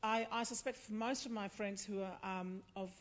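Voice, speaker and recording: A woman's voice: mid-range voice, fairly even intonation, moderate pace. A woman chairing a festival panel, posing questions and musing aloud in a curious, chatty way with frequent fillers. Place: festival venue before an audience.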